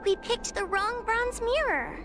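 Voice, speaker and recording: A woman's voice: very high-pitched, unhurried, music in the background. A woman playing a timid, anxious young girl spirit-hunter in a video game, with hesitant, stammering speech. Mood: nervous, hesitant. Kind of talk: video game character acting.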